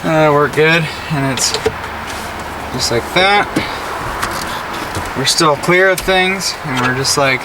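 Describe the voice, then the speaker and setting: A young man with an American accent and a slightly high voice talks through a job in a folksy, slangy way. He sounds laid-back and informal, like a hobbyist vlogger. He is recorded in a pickup cab, with clear traffic noise behind him. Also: slow pace, pauses often. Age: young adult. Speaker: vlogger doing a truck DIY install. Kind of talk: casual vlog chat while working. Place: inside a parked pickup truck cab, door open. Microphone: camera mounted an arm's length or more away. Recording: clear outdoor road noise. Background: road and vehicle noise.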